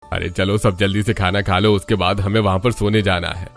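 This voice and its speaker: A man's voice: low voice, little pitch movement, a little fast, voice pushed down. A man voicing a bossy, commanding older father-in-law in a cartoon. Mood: brisk, bossy. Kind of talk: cartoon voice acting.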